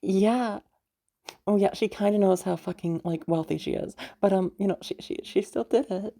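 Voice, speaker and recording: A woman plays a sweet young woman in an audio roleplay, nervous and eager to please. She stammers and hesitates in a giggly, bubbly way. Her tone is mellow, with some creak at the edges. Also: medium pitch, normal speed, natural voice. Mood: giddy, nervous. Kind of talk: roleplay audio drama, one side of a phone chat.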